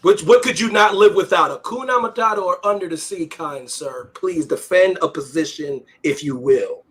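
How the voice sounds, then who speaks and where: A man hosting a casual debate chat over a video call, loud and slangy with lots of hype. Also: high voice, moderate pace, breathy edge. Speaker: host.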